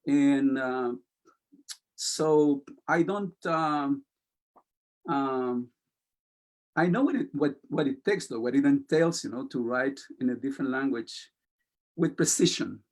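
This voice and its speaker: A man with a Spanish accent, whose first language is Spanish, talking very slowly in a mid-range voice. He hesitates often and fills pauses, choosing his words with care as a poet being interviewed. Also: mid-range voice. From Chile. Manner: slow, hesitant, many fillers, soft-spoken. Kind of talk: casual interview, reading poetry aloud.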